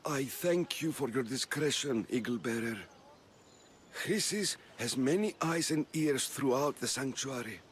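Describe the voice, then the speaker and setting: An actor playing a timid, kindly Greek healer priest in a dramatic video game, hesitant and deferential. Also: male voice, medium pitch, unhurried, slight background noise, lightly acted. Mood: grave, confiding. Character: timid, nervous, kindly male healer priest in ancient Greece.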